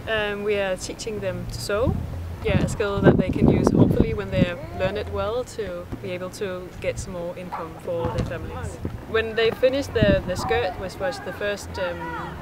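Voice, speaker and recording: A woman's voice: medium pitch, fairly even intonation, moderate pace, few pauses. A young woman from Denmark, an intern coordinating a women's project, talking earnestly with frequent hesitations. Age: young adult. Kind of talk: casual interview testimonial. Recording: loud outdoor noise, traffic behind.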